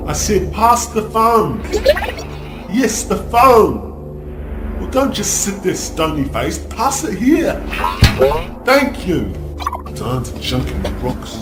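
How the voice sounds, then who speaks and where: A man's voice: high voice, very animated, slow pace, long pauses, roomy sound, loud music behind the voice, heavily acted. A man playing a laid-back human traveler stranded on an alien world, easygoing and chatty in a comic radio drama. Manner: easygoing, chatty, fond of trivia. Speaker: space traveler.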